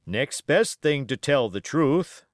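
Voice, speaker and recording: A man voicing a brisk, gruff sea captain in an audiobook, barking terse orders. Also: medium pitch, big pitch swings, no room sound, clean studio sound, pitched above the performer's own voice. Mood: gruff, impatient. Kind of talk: audiobook character acting.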